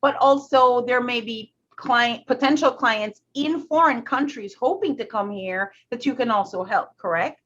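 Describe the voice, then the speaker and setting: A woman's voice: fairly high pitch, a little slow, few pauses, a bit bright. A woman interviewer asking questions and encouraging listeners, in a dull lo-fi recording. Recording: dull lo-fi sound.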